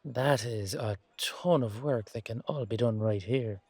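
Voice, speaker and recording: A man playing a weary, down-to-earth knight guardsman in a fantasy audio drama, musing colloquially to his partner with hesitant pauses. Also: medium pitch, darkish tone, slightly rough, no echo. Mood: thoughtful, suspicious. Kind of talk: roleplay audio drama monologue addressed to a partner.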